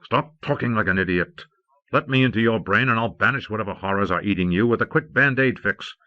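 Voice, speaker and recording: A man's voice: lowish voice, brisk, bright, forceful tone, no room sound. A man reading an audiobook, playing a commanding, impatient magnate with an exasperated, articulate manner. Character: commanding, impatient magnate browbeating his younger-looking brother. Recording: muffled old cassette audiobook transfer.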